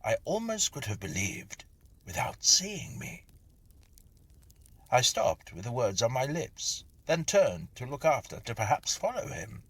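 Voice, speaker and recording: A man reading a Victorian ghost story as scripted narration, in a husky, breathy, hushed and dramatic storytelling manner. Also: medium pitch, sing-song, unhurried.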